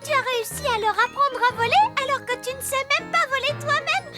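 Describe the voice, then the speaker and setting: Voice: extremely high pitch, brisk, loud music behind the voice. A performer voicing a cheerful, eager small child in a French children's cartoon. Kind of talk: animated children's cartoon dubbing.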